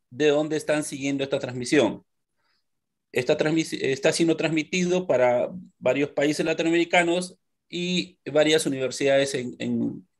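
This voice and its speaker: A middle-aged man with a slightly raised voice introduces guests on a live video call from a bright living room. He speaks through a laptop webcam mic and pauses often on fillers as he lists names and countries. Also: fairly high pitch. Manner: hesitant, listing names with fillers. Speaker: webinar moderator.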